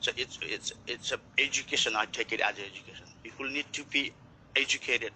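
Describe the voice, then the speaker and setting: Nepal-born man, network marketer, earnest and emphatic, bright edge, faint room noise. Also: mid-range voice, brisk, rough recording. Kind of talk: casual group chat.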